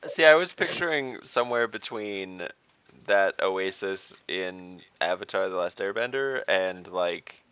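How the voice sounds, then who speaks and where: A man's voice: low-pitched, expressive, a little slow, bright, forceful tone, clean tone, no room sound, dull audio. A man playing a tabletop game online, chatting casually and joking with the group.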